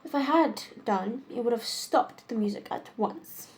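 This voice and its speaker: A young woman playing a witty, fussy upper-class society lady in a stage comedy monologue, deliberate and complaining. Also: on the high side, lively intonation, a little mellow. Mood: exasperated, arch.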